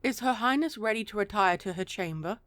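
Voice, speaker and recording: A woman's voice: medium pitch, animated, a little fast, fairly bright tone, close to an everyday voice. A woman playing a prim, stern, dutiful attendant of a princess in a fantasy audio roleplay, speaking in a formal, proper, clipped way. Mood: disapproving.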